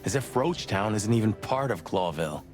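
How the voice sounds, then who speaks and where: A streetwise rooster cop sidekick, acted by a man, with a lowish voice. He is sardonic and needling but loyal, in a noir detective game with prominent music underneath. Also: expressive, normal speed, natural voice. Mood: grim, bitter. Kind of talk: film noir game voice acting. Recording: music behind the voice.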